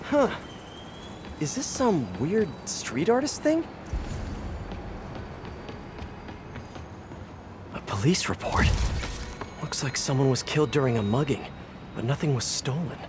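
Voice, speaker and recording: A young American superhero detective in a video game, pitched a little high for a man. He muses to himself with curious, earnest wit and a wry conversational touch. Clear traffic-like noise runs behind him. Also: sing-song, moderate pace. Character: young quippy superhero investigator, curious, earnest, thinking aloud. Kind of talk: video game voice acting, monologue.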